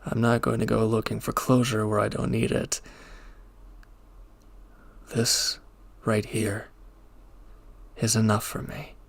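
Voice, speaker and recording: A man playing a reformed villain turned protective lover in an intimate audio roleplay, with a gravelly, breathy voice. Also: fairly low pitch, flat delivery, slow pace, pauses often. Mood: soft, devoted. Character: reformed male villain, tender protective lover, gruffly firm.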